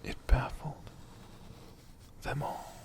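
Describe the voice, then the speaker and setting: An ASMR storyteller of unclear sex telling tales in a hushed, drawn-out way, with long pauses and repeated words. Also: noisy background.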